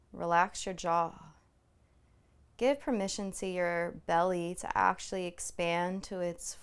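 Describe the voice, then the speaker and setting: Woman, meditation teacher, smooth clear voice, slight creak, soothing, unhurried, long pauses, reassuring. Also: on the low side. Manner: gently permissive, reassuring. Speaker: meditation instructor. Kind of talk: guided body scan meditation.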